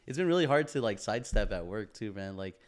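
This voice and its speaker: A man's voice: lowish voice, lively intonation, fast. A young man chatting casually on a podcast, rambling and swearing, with plenty of bro and dude. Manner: slangy, profane, rambling, says bro and dude often. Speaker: podcast co-host.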